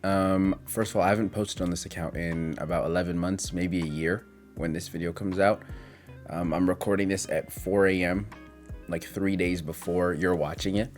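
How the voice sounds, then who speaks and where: A man's voice: sits low, steady tone, normal speed, a little mellow, faint music behind. A man in his twenties talking in a casual, confessional vlog monologue, reflective and hesitant as he rambles through his thoughts. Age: 20s. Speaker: content creator.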